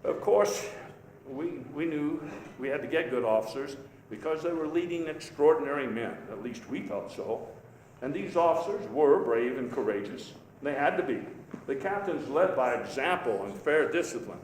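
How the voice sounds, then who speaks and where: An actor playing a vigorous, patriotic elder statesman giving a folksy, emphatic, halting speech in a live historical reenactment. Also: medium pitch, lively intonation, a little mellow, lightly acted. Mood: stirring, patriotic. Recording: echoey live hall, faint background noise.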